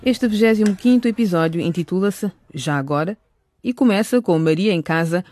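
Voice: medium pitch, expressive, moderate pace, darkish tone, dry recording, studio quality. A narrator reading scripted story summaries for a radio drama.

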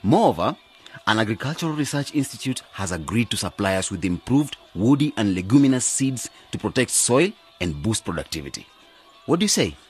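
An actor playing an earnest, optimistic young graduate who leads a village cooperative in a radio drama. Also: male voice, fairly low pitch, very animated, brisk, a little mellow, husky, soft background music. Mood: upbeat. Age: young adult.

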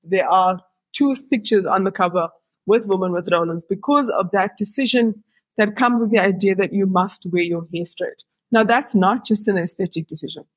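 Husky-voiced woman interviewing. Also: mid-range voice, dry recording, poor audio. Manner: reflective, builds long explanations before asking a question. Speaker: podcast interviewer. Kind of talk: conversational interview.

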